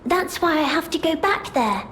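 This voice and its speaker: A woman voicing a naive, wistful doll girl in a video game, pleading softly and speaking of herself in the third person. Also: high-pitched, animated, moderate pace, slight background noise. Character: childlike wistful doll girl longing for freedom. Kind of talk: scripted video game character acting.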